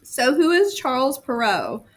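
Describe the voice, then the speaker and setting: A woman's voice: high voice, huge pitch swings, unhurried. A woman teacher giving a casual lesson to students, talking informally with slang and chatty asides and repeating key terms.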